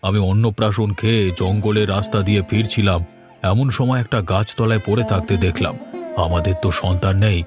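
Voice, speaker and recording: A man's voice: fairly low pitch, steady tone, fairly quick, music in the background, close to an everyday voice. A man voicing an anxious, plaintive village husband in a cartoon story. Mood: earnest. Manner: plaintive, imploring. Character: anxious, pleading village husband, childless chicken farmer.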